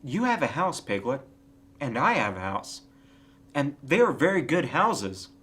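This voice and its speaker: A man's voice: mid-range voice, sing-song, a little slow, a bit bright, pitched above the performer's own voice. A man voicing a kindly, simple-minded toy bear in a storybook, earnest, musing and slightly muddled.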